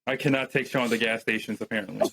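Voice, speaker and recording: A man co-hosting a podcast in casual chat, cracking dry, deadpan jokes. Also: medium pitch, quick pace, gravelly. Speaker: podcast co-host. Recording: noisy mic with clicks.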